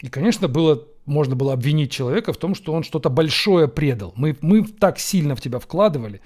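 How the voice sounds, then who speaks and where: A man from Ukraine, hosting a question-and-answer show, musing on viewers' questions with frequent pauses and fillers. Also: on the high side, lively intonation, darkish tone. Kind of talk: Q&A monologue. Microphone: camera setup.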